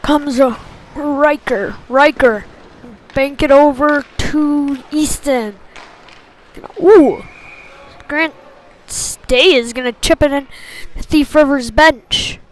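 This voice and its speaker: Female hockey play-by-play caller, very high voice, slow pacing, clipped phrasing, excitable on big plays. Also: low-quality recording. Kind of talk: live sports commentary.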